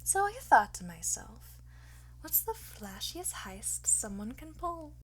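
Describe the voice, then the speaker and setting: A woman playing a flirtatious, boastful master thief in an ASMR roleplay, soft and often whispering, theatrical and smug. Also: on the high side, sing-song, slow pace, low-quality recording. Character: teasing, boastful female master thief under interrogation.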